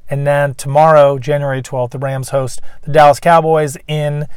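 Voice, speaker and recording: Hoarse man hosting a fan vlog. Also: fairly high pitch, little pitch movement, normal speed, dry recording, clean studio sound. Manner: chatty, many fillers, throat clearing. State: losing his voice, getting sick. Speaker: YouTube fan channel host. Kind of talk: casual sports preview vlog.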